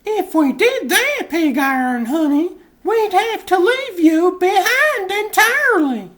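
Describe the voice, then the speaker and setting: A woman's voice: very high voice, sing-song, slow pace, fairly bright tone, heavily acted. A woman voicing a sassy, spirited cat superheroine in a comic book dub.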